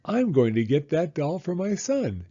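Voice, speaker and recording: A man voicing an excitable male shopper in a children's storybook, with exaggerated emphasis. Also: a little high, a little slow, breathy edge, dull audio, voice pushed up, full character voice. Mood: admiring.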